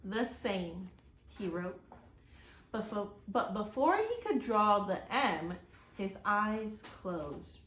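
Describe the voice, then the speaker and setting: A woman reading a children's story aloud like a teacher, expressively, pausing to address her young listeners with questions. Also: medium pitch. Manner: expressive, acts out exclamations and gasps. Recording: roomy echo, faint background noise.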